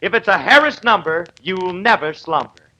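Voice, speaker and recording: A cocky man bragging as a bandleader on a 1930s radio comedy. His voice sits high and rings sharp and bright. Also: expressive, moderate pace, highs cut off. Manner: brash, cocky wisecracking.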